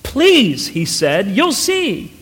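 Man reading as a pleading inventor husband, high-pitched. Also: huge pitch swings, extremely slow, fairly bright tone, heavily acted. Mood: urging. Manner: insistent, protesting, questioning. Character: proud, pleading inventor husband and father, easily flustered. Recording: echoey hall with long reverb.

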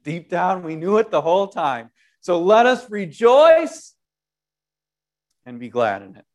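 Animated, higher-pitched male pastor preaching. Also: a little slow. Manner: animated preaching with wide swings in loudness; self-deprecating, conversational asides. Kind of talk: Easter sermon.

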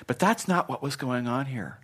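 Middle-aged pastor guy preaching, chatty and animated, goes soft and earnest sometimes, mid pitch. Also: moderate pace, slightly rough. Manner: conversational and animated, with jokes and acted quotes; softens to a gentle, earnest tone when encouraging. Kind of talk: sermon. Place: church.

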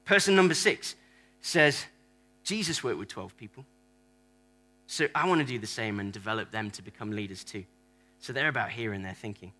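A man's voice: fairly low pitch, lively intonation, moderate pace, sharp tone, low-quality recording. A man preaching a playful sermon in a church, acting out different church members and joking with the congregation. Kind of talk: sermon with audience props. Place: church hall.

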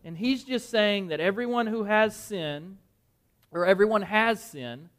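A man preaches in a high, light voice. He keeps it conversational, firing questions at his listeners and doubling back on words as he goes. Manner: conversational, quizzes the audience, repeats words. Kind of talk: interactive Bible-study sermon. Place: dim room with a live audience.

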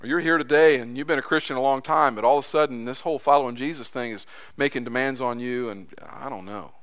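Guy preaching in church, bright sharp voice, gets loud and high acting out lines, then quiet. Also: fast, clear, resonant tone. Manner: impassioned, voice rising high when acting out quotes. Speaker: pastor. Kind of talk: sermon.

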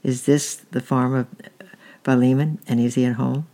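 Man reading gentle devout traveler, gravelly, flat. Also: mid-range voice, moderate pace, lightly acted. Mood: courteous. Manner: gentle, earnest.

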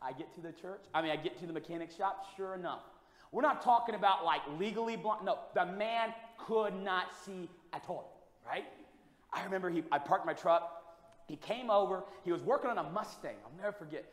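A man preaching a sermon with a loud voice, moving between quiet storytelling and shouted preaching. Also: sits high, fairly quick. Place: church hall. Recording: echoey hall with long reverb.

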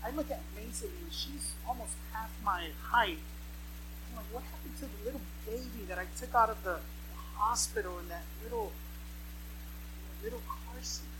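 A woman's voice: a little high, expressive, normal speed, noisy background. A woman in her mid-thirties preaching a sermon to a congregation, with emphatic repeated phrases and rhetorical questions.

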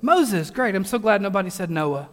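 A high-pitched man preaches a loose, humorous sermon, stumbling over restarts and poking fun at himself and his listeners. His voice carries a long reverb from a large church sanctuary. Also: lively intonation, moderate pace, a bit bright. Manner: chatty, self-deprecating humor, frequent restarts. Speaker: pastor. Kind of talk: casual joking sermon.

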